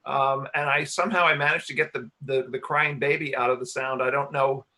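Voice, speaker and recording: A man answering questions in a casual panel Q&A, explaining things in a halting, explanatory way as the director and engineer of a production. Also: medium pitch, fairly even intonation, pushed and bright. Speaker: radio play director and sound engineer.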